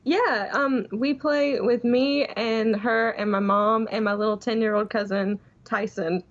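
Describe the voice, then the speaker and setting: A woman's voice: on the high side, fairly even intonation, measured pace. A nineteen-year-old woman chatting casually as a podcast guest, calling in remotely. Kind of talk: casual chat. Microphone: remote call. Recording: muffled remote call audio.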